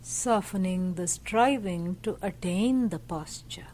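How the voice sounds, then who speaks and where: A woman leading a guided meditation as a teacher, speaking gently in short, measured phrases with pauses. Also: on the low side, a little hiss or hum. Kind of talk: slow guided meditation narration.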